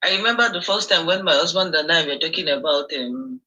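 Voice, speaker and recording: Nigerian woman, caller, bright sharp voice, storytelling, hesitant. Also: deep voice, fairly quick, lo-fi sound. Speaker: caller sharing a testimony. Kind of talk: call-in testimonial.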